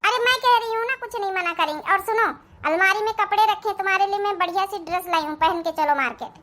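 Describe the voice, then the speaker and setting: Someone voicing a very high, bright young daughter-in-law. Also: fast talker. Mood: reassuring. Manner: reassuring, take-charge, speaks standard Hindi. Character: kind, confident young city-bred daughter-in-law. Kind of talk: Hindi family cartoon dialogue.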